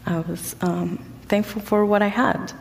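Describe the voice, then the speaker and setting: A young woman from Egypt giving a personal testimony as a guest speaker, halting often with ums and pauses. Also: medium pitch, fairly even intonation, vocal fry, in a large hall. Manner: hesitant, many ums and pauses. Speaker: guest speaker sharing her story.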